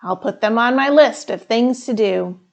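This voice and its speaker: A woman's voice: on the high side, moderate pace, vocal fry. A woman voicing a busy, well-meaning father in a storybook, agreeable and matter-of-fact. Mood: reassuring. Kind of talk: storybook read-aloud.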